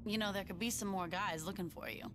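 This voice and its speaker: An actress playing a casual, wry young gang member in a video game, with an offhand, slangy delivery. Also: female voice, medium pitch, fast, noisy background, natural voice. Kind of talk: video game cutscene acting.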